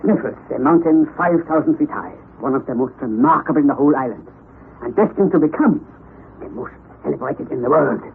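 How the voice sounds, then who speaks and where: An eccentric older professor holds forth in theatrical vintage radio fashion. His voice is high and leaps about excitedly, domineering and obsessive. The sound is thin and tinny, with noise over it. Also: fairly quick, gravelly. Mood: lecturing. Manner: theatrical, declamatory, exclamatory. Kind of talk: vintage radio drama acting. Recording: tinny, noisy old radio recording.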